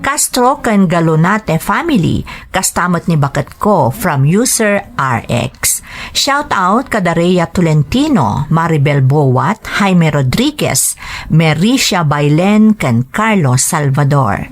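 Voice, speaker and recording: A woman's voice: lowish voice, very animated, slow. A woman hosting a drama program, reading scripted narration and listener greetings. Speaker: radio drama program host.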